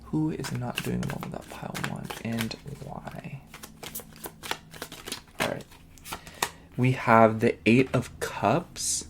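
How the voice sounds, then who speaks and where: A man giving a casual tarot reading, rambling with lots of fillers, his voice sniffly and nasal. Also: lowish voice. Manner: chatty, rambling, heavy on fillers. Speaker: tarot reader.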